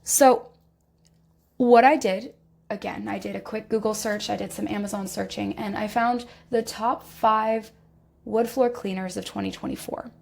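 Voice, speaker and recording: A woman's voice: medium pitch, steady tone, moderate pace, a little mellow. A woman hosting a podcast in a conversational way, her voice scratchy from a recent illness and a lingering cough. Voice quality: scratchy, gravelly voice. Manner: conversational, with fillers and self-corrections. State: recovering from illness, slight cough. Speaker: podcast host. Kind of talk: casual informational podcast.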